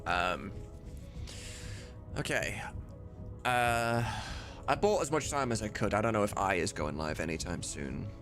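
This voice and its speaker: Low-voiced man with lively, swinging intonation. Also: unhurried, pauses often, over music. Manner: informal, chatty, mild swearing. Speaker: livestreamer and host of a game voice-dubbing mod team. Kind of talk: casual livestream chat.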